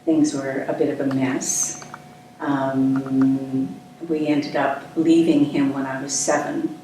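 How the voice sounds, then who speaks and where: An older woman born in Scotland, talking about her life in a relaxed, thoughtful way, pausing often as she answers. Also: extremely low pitch. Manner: relaxed, thoughtful, with frequent hesitations. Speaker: interview guest, actress. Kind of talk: casual conversational interview. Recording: echoey room, distant mic, faint noise.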